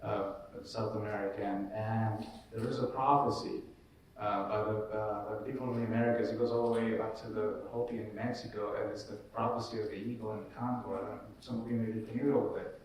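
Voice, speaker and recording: A man's voice: on the low side, flat delivery, brisk, slight background noise. A man from Colombia speaking as a panelist, earnest and rambling with many fillers. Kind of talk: casual panel discussion. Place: large echoey room, live event. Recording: echoey room from far off.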